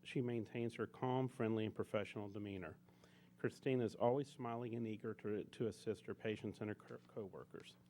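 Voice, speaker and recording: A man's voice: mid-range voice, a little mellow. A man at a public board meeting presenting an employee award, reading an earnest tribute with frequent restarts and fillers.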